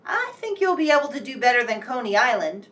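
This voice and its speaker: A woman playing a brisk, commanding radio producer in a radio play. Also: on the high side, big pitch swings, a bit bright, far from the mic. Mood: breezy.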